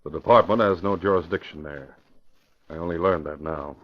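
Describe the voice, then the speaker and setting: A man's voice: low-pitched, normal speed. An American man playing a cautious, earnest police commissioner in an old-time radio mystery, official and explanatory. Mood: troubled, cautious. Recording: dull vintage radio recording.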